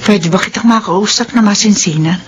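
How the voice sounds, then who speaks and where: An actress playing a firm, principled middle-aged mother in a Filipino radio drama, with expressive delivery. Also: mid-range voice, normal speed, slight background noise, lightly acted. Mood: gentle.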